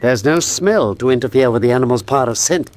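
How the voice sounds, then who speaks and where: A man's voice: medium pitch, lively intonation, fast, darkish tone, natural voice. An actor playing a clever, commanding male detective in a radio drama, decisive and authoritative. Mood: assured. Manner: decisive, authoritative, clipped orders.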